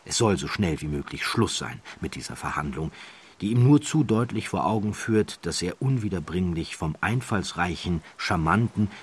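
A low-pitched man narrates a scripted crime story as an audiobook. His voice has a husky grain, and he places phrases deliberately, leaning on key words with dramatic pauses.

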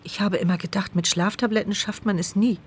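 A woman's voice: mid-range voice, steady tone, brisk, slight background noise, lightly acted. An actress playing a paralysed young woman who narrates her inner thoughts in a dry, sardonic way in a radio play. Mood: brooding.

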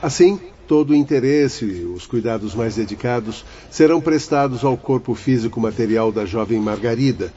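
A man playing an authoritative, caring spirit doctor in a radio drama, speaking formally and deliberately. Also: medium pitch, dark tone, a little hiss or hum, close to an everyday voice. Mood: grave, reassuring. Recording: dull radio-play recording, faint background ambience.

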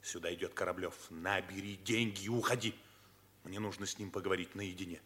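An actor playing an agitated, blustering older schemer in a 1950s Soviet theatrical radio drama. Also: male voice, sits low, fairly even intonation, a little fast, bright, forceful tone. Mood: nervous, evasive.

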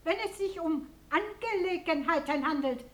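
Very high-voiced sardonic woman. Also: lively intonation, moderate pace, fairly bright tone. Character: sceptical, gossipy woman of the theatre. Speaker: actress in a radio drama. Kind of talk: radio drama acting.

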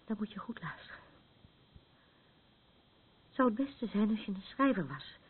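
An actress in a radio play, playing a troubled, battered woman who is anxious and rambles haltingly in defence of her abuser. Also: medium pitch, big pitch swings, breathy edge. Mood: brooding, insistent. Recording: muffled, phone-like narrow band.

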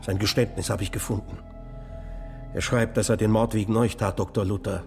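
A man's voice: lowish voice, steady tone, fairly quick, darkish tone, big-room sound, soft background music, lightly acted. An actor playing a grieving, anxious townsman in a historical German radio drama, sombre and urgent.

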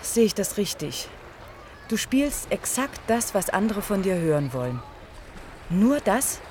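An actress playing a spirited young student lifeguard in a radio play, with a direct, encouraging manner. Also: female voice, mid-range voice, sing-song, measured pace, darkish tone. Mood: probing, playful. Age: young adult. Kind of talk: German radio play acting. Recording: noisy outdoor sound scene with water.

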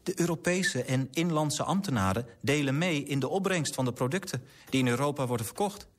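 An actor in a radio drama playing an earnest, idealistic clerk, formal and articulate, politely persistent. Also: male voice, fairly high pitch, animated, a little fast. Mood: indignant, persuasive.

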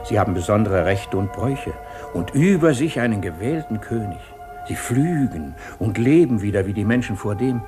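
Man acting a serious courtier in a radio play, lively intonation, earnest declaiming, music underneath. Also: fairly low pitch, moderate pace, natural voice. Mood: grave, reporting. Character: grave courtier reporting to the king.

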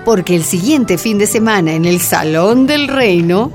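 A woman's voice: medium pitch, animated, a little slow, darkish tone, soft background music. A woman narrating a scripted radio drama as its storyteller.

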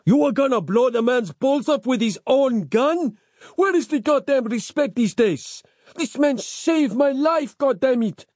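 A man's voice: very high voice, lively intonation, normal speed, hardly any pauses, dark tone, dry recording, studio quality, voice pushed up. A man voicing a brash, blustering street maverick, burned out and indignant, in an audiobook. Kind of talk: audiobook character voice.

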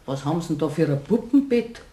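An actress playing a skeptical, sharp-tongued middle-aged wife in a radio play, scolding brusquely with a Bavarian accent. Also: female voice, low voice, expressive, quick pace, echoey room. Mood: indignant. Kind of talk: German radio play acting.

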